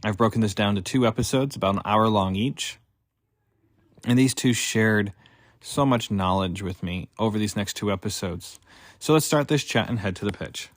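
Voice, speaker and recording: Low-voiced male podcast host. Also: moderate pace, no room sound.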